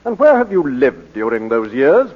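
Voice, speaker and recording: An actor playing a measured, probing defence barrister in a 1940s radio drama, deliberate and pointed. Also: male voice, medium pitch, big pitch swings. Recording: muffled old 1940s radio recording.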